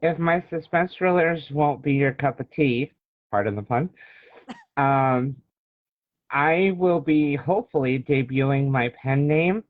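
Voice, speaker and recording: Over a thin, phone-like connection, an American man in his forties talks as a guest author in a casual interview. His voice sits at a medium-high pitch for conversation, with little room sound around it. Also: a little slow, no room sound. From Illinois, United States. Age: 40s. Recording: thin phone-like call audio.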